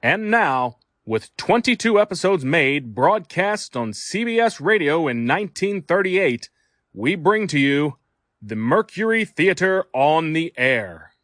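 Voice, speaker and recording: A man's voice: a little high, very animated, measured pace, fairly bright tone, no echo. A man hosting a podcast, reading a scripted introduction into a close mic. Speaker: podcast host.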